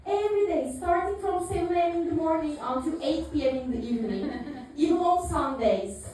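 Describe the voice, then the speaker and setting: High-voiced woman doing stand-up. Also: animated, a little fast, dark tone. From Turkey. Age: 30s. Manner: dry, self-mocking, with many fillers and small laughs. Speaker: stand-up comedian. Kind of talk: stand-up routine. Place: live comedy venue, audience laughing. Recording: echoey room picked up from far off.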